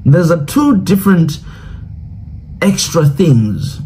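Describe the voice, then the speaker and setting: A man's voice: fairly high pitch, sing-song, slow, mellow, a little hiss or hum. Man chatting casually over clips. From Tanzania. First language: Swahili. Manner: rambling, repeats "you know what I'm saying". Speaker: reaction video host. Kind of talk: casual commentary reacting to news clips.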